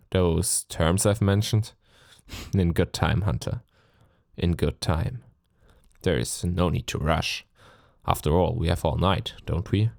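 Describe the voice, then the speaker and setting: A man performs a suave, cruel vampire lord for an intimate ASMR roleplay. His voice is low and creaky, recorded close and dry. He speaks with theatrical, condescending courtesy, seductive and mocking as he lingers over his phrases. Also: a little mellow, dry recording, full character voice. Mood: teasing, smug.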